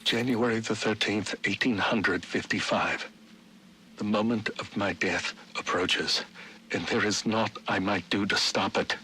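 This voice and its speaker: Theatrical Victorian gentleman diarist, faint noise. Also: on the low side, fairly even intonation, unhurried, rough recording. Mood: resigned, foreboding. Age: middle-aged. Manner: formal, archaic, dramatic diction. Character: Victorian gentleman city founder, solemn, theatrical, scheming diarist. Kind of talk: dramatic journal narration in a video game.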